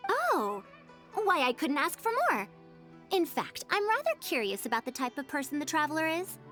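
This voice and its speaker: A woman's voice: high voice, very animated, moderate pace, fairly bright tone, soft background music. A woman voicing a proud, fussy scholar in a video game, with formal, old-fashioned phrasing and the airs of an elder. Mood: pleased, curious. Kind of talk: video game character voice acting.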